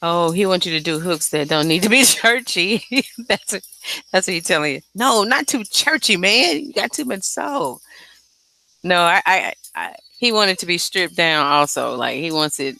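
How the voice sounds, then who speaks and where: A woman's voice: on the low side, lively intonation, normal speed, creaky, sharp tone, rough recording. A woman with a light, airy voice chatting casually and candidly about her music, informal and slangy, swearing freely. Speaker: singer and songwriter. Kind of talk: casual, unscripted chat about making music.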